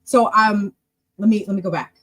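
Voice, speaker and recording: A woman hosting an online club stream, chatting casually in a loose, easygoing way with a husky voice. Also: mid-range voice, fairly even intonation, normal speed, fairly bright tone, no room sound. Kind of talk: casual livestream talk.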